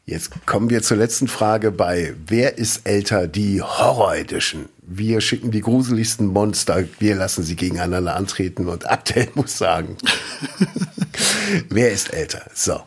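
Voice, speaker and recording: Husky low-voiced man hosting a podcast quiz. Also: animated, a little slow. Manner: chatty and rambling, often laughing mid-sentence. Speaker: podcast co-host and quizmaster. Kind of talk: casual podcast chat.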